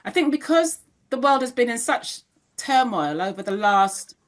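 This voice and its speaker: A woman's voice: a little high, expressive, moderate pace. A woman in her fifties hosting an interview podcast, speaking in a reflective, affirming way. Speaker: podcast host. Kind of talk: casual interview chat.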